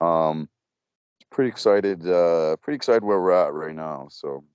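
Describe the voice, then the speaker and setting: A man's voice: a little slow, a little mellow, clean tone, dry recording, dull audio. A young man, a metal band vocalist, giving casual interview answers with lots of fillers and restarts. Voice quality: low voice. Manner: rambling, many fillers and restarts.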